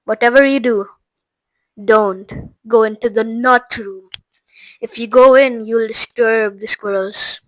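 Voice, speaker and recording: A woman voicing an eccentric brisk factory owner. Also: on the high side, fairly even intonation, no room sound, rough recording. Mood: explaining. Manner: brisk, showman-like, explaining.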